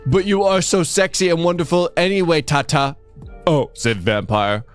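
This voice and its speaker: A man co-hosting a livestream, giving a halting mock-dramatic reading of fan fiction and hamming up the character lines. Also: a little high, faint music behind. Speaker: livestream co-host.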